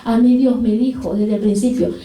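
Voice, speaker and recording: A woman preaches with fervor, her voice pitched high and peppered with repeated praise. She sits back from the microphone, and the room rings with a strong echo around her. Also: moderate pace, mellow, distant mic. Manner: fervent, repeats hallelujah and glory to God. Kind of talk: sermon.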